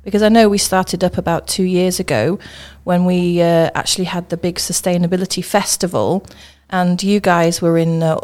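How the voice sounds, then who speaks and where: A woman's voice: fairly low pitch, steady tone, normal speed, hardly any pauses, a little mellow. A woman, a teacher, hosting an interview podcast. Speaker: host, school teacher.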